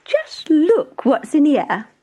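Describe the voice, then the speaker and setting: A woman voicing a boastful, excitable female town mouse for a storybook, squeaky and showy, with condescending, drawn-out emphasis. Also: exaggerated voice. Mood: cheerful.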